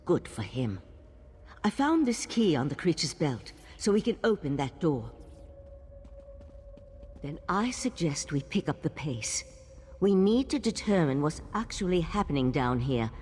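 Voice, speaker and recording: A composed, inquisitive mage of an ancient order, speaking fairly low with lively swings in pitch. She is measured, formal and articulate, as in scripted fantasy game dialogue, with a long reverb around her. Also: slightly rough, full character voice. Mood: grave, analytical. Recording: long reverb, faint background noise.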